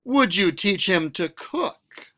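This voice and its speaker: A man reading a children's picture book aloud as a school librarian, expressive and questioning, stretching out words for young listeners. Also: high-pitched, takes it slowly, slight background noise.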